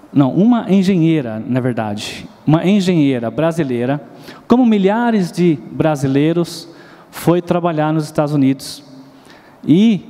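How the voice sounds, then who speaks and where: Brazilian male preacher, mellow tone, storytelling sermon, reverberant church hall. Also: a little high. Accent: Brazilian Portuguese. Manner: storytelling, asks the congregation questions, sings bits of nursery rhymes.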